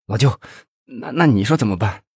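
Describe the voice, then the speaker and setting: A man's voice: mid-range voice, expressive, normal speed, darkish tone, husky, no room sound, studio quality. A man voicing a scheming younger man, nervous yet ruthless, with a whiny, pleading manner.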